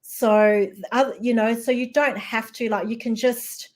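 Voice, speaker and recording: A woman's voice: on the high side, steady tone, unhurried, a little mellow. A middle-aged woman from Singapore, a guest in a casual chat, talking hesitantly with many fillers and restarts.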